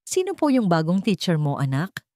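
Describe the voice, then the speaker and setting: A woman's voice: on the low side, wildly swooping pitch, normal speed, warm tone, no room sound, clean studio sound. A woman voicing a stern, irritable, jealous mother with a hard, firm manner and a Filipino accent in an audio drama. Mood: tense, controlled. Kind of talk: dramatic audio story acting.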